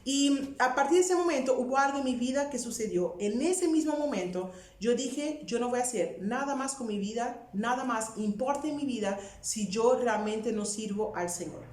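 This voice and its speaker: A woman's voice: a little high, expressive, normal speed, hardly any pauses, a little mellow. A woman in her twenties from Portugal, earnestly sharing her personal faith testimony in a conversational way. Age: 20s. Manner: earnest, conversational, frequent rhetorical "verdad". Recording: roomy echo with music underneath.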